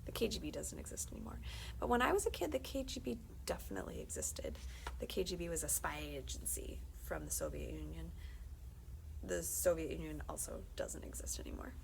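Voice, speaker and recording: A woman reading a children's book aloud as a librarian, conversational and expressive, often dropping into hushed, confiding tones. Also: low-pitched, fairly quick, vocal fry, slight background noise, low-quality recording. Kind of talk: animated read-aloud of a children's book with brief asides.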